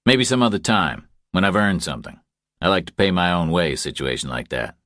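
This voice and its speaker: A man voicing a big, tough, unruffled drifter in an audiobook, speaking in terse, understated deadpan. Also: low-pitched, fairly quick, no echo, clean studio sound. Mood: dry, polite. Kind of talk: audiobook character dialogue.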